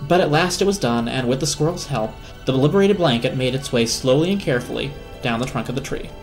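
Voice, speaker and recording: A man reads a storybook aloud as narrator, in a middle-pitched voice. The delivery is dramatic, with faint music behind. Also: animated, fast talker.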